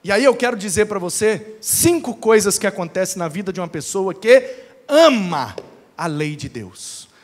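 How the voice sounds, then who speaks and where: A Brazilian man preaching as a pastor, colloquial and emphatic, with rhetorical pauses and dramatic storytelling. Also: high-pitched, expressive. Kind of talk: animated sermon with dramatic storytelling. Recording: long hall reverb.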